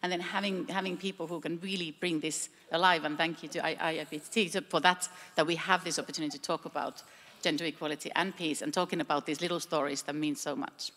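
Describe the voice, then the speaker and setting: A woman's voice: sits low, fairly even intonation, quick pace, fairly bright tone. A woman panelist telling stories in a chatty way, with frequent restarts, at a panel discussion in a large hall. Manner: chatty storytelling with many restarts. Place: large trade fair hall with reverb. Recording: echoey hall, faint background hum.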